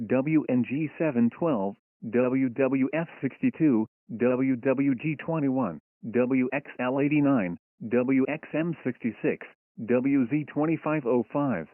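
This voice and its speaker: A man's voice: medium pitch, dry recording. Male weather radio announcer, formal synthetic read, dry, thin phone-like radio sound. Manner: formal, impersonal synthetic reading. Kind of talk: scripted emergency weather bulletin. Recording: tinny band-limited weather radio.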